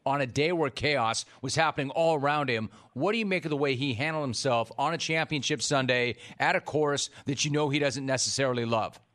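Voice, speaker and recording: A man's voice: mid-range voice, a bit bright. Polished male sports radio host. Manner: smooth, measured broadcaster delivery with sponsor reads. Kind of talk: radio interview hosting. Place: radio studio.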